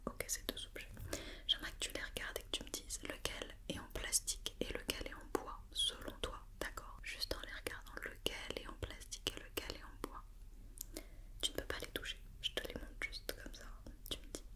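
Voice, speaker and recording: A woman doing a whispered ASMR roleplay as a doctor, patiently and gently repeating questions and reassurances. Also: moderate pace.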